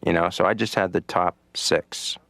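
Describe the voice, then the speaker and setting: A middle-aged man voicing a cartoon patient who tells stand-up jokes in a deadpan way, filling his lines with "you know.". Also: low-pitched, moderate pace, no room sound. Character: comedian patient on the therapy couch.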